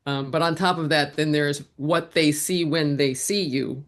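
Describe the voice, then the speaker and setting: A woman's voice: low voice, fairly even intonation, measured pace. A woman answering interview questions as a guest, in a reflective way with frequent restarts. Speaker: guest, actor and writer.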